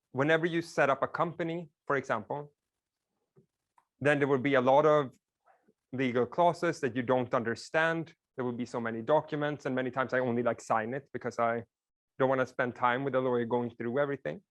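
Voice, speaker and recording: A man's voice: mid-range voice, steady tone, brisk, fairly bright tone. Swedish man lecturing casually. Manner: casual, explanatory, with filler "like". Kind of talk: classroom lecture.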